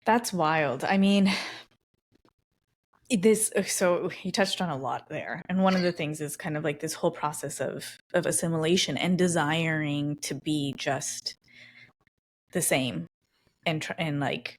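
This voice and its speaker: A woman's voice: sits low, a little slow. A woman hosting a podcast interview, reflecting aloud and thinking through ideas with frequent restarts. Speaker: podcast host. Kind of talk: conversational interview.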